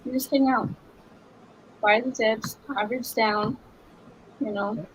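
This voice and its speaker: A woman's voice: fairly high pitch, steady tone, a little slow, low-quality recording. A woman with a slightly raised voice chats casually on a trading livestream, filling her sentences with like. A faint hiss runs under her voice. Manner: casual, heavy use of "like". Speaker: livestream co-host.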